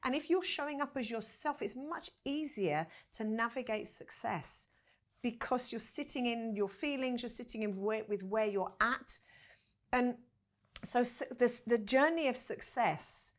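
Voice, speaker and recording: A woman's voice: on the high side, unhurried. Reflective woman guest in conversation. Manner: reflective, repeats words as she builds a point. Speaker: podcast guest, vocal coach. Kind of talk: casual conversation.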